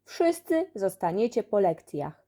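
A woman's voice: medium pitch, big pitch swings, a little slow, mellow. A woman playing a strict, easily flustered male school supervisor in a children's story, scolding indignantly. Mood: angry.

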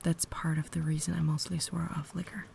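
A woman's voice: deep voice, little pitch movement, fairly quick, gravelly, a little hiss or hum. A woman playing a cultured, caring vampire lady in a refined, whispered ASMR roleplay, holding herself back. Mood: refined, pleasant. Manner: refined, formal, soft-spoken whisper.

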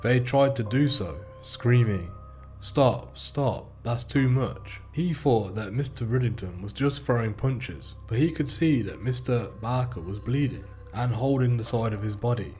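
A man with a British accent reading a formal, measured crime case report as a scripted narration. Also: mid-range voice, warm tone. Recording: faint music bed behind.

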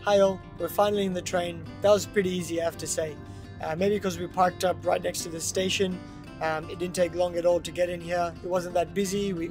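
A man talking to camera in an upbeat, chatty travel vlog from inside a train cabin. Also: high-pitched. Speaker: travel vlogger. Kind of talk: casual vlog talk to camera. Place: first-class train cabin, indoors.

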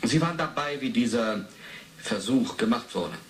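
A man's voice: medium pitch, lively intonation, moderate pace, natural voice. An actor playing an impatient male interrogator in a radio drama, clipped and official. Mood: insistent. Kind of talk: 1980s German radio drama acting. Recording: roomy, hissy interrogation tape.

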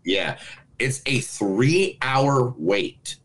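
A man's voice: mid-range voice, expressive, extremely slow, a bit bright. A man co-hosting a movie podcast, talking in casual, teasing, crude banter. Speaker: podcast co-host.

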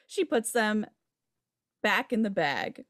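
Woman, scripted narration, stage directions, medium pitch, no room echo. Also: very animated, slow, fairly bright tone, clean studio sound. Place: home room, video call.

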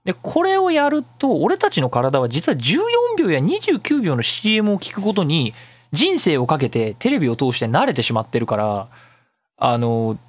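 A man's voice: on the high side, no room sound. A man hosting a casual solo radio talk, chatty and emphatic as he explains things step by step. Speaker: radio show host. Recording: music behind the voice. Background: background music.

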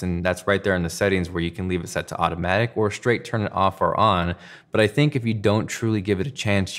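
A man with a deep voice hosts a tech review. He speaks to the camera in an enthusiastic, emphatic way, as someone who is explaining gear from a studio desk. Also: a bit bright. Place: indoor studio room at a desk.

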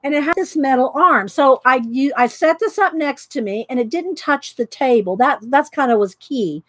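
Middle-aged woman, high voice, loud and chatty craft host, laughs a lot. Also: normal speed, dry recording. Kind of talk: casual live craft chat. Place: home craft room. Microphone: overhead camera pointing down at desk.

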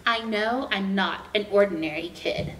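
A young adult woman reading a children's picture book aloud as a storytime host, with deliberate, expressive storytelling for young children. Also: medium pitch. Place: indoor room with painted mural. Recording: roomy, distant mic, faint noise.

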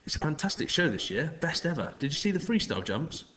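A man's voice: fairly high pitch, big pitch swings, quick pace, a bit bright, a little hiss or hum, voice pushed up. A man voicing a sly adult male stranger who sounds falsely friendly and slick, in a storybook reading. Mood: smooth, scheming.

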